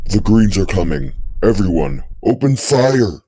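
A man's voice: sits low, steady tone, unhurried. A man voicing a commanding toy army sergeant in a stop-motion war film. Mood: urgent. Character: male toy army sergeant, barking orders. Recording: loud noisy amateur battle-scene recording.

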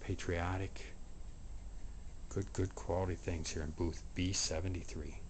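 A man narrating a promotional walkthrough from behind a handheld camera indoors, listing items in short, unhurried, repetitive phrases. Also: deep voice. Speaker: antique mall booth presenter. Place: indoor antique mall showroom. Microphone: handheld camera, operator speaking from behind it. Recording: steady background room noise.

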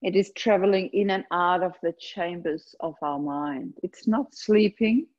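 Female meditation guide, lowish voice, very slow and gentle delivery, spacious pauses, slightly muffled recording. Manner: gentle, unhurried, short phrases with long pauses. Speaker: yoga nidra meditation guide.

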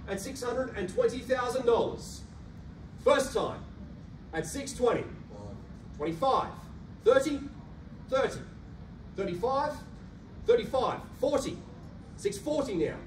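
A man in his thirties with an Australian accent, an auctioneer calling bids in a rhythmic, rapid-fire patter and coaxing buyers in a carpeted function room. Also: very high-pitched. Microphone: handheld mic, filmed by a far camera. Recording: roomy echo from a distant camera, faint hum.

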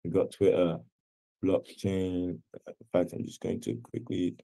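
A man teaches an online class in a low, mellow voice. He explains things in a casual, conversational way and keeps checking in with his listeners. He is speaking over a video call. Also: unhurried, vocal fry. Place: video call with screen sharing.

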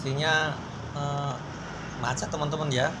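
A man's voice: fairly high pitch, flat delivery, moderate pace. A man giving a casual running commentary while riding a motorbike through city traffic, often addressing his viewers as friends. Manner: chatty, keeps addressing friends, frequent fillers. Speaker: motovlog host. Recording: road traffic noise behind. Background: engine and traffic noise.

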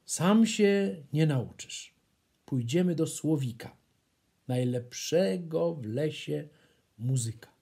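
A man voicing a friendly, caring little male hare from a children's storybook, husky and earnest. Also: fairly high pitch, lively intonation, slow, dark tone, exaggerated voice. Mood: encouraging.

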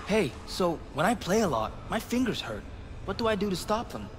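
A man's voice: high-pitched, big pitch swings, normal speed. A man playing an eager but self-doubting boy learning guitar, talking casually and a little hesitantly in a video game. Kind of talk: naturalistic video game acting.

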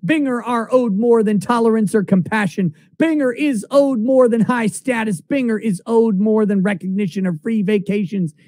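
A man's voice: sits high, normal speed, few pauses, a little mellow, no echo. A middle-aged man reading a text aloud in a declamatory, emphatic, dramatic way.

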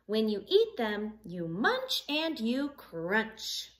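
A woman in her thirties reading a picture book aloud to children like a teacher, in an expressive sing-song way, pausing to put simple questions to them. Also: fairly high pitch. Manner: expressive, sing-song storytelling with questions addressed to young listeners. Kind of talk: children's read-along with simple math questions. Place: living room at home.

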